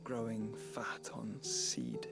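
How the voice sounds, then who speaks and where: An actor with a British accent playing a grave, weary young nobleman in a video game, speaking in a measured, formal, contemplative way. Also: on the low side, very animated, gravelly, music in the background, full character voice. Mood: solemn, brooding. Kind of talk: solemn video game cutscene acting.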